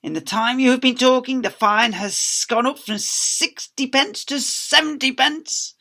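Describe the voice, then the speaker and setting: Man putting on a tyrannical, imperious woman librarian, pitched high. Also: bright, forceful tone, heavily acted. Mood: menacing. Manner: imperious, drawn-out hissing delivery. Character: mean, tyrannical female school librarian relishing punishment.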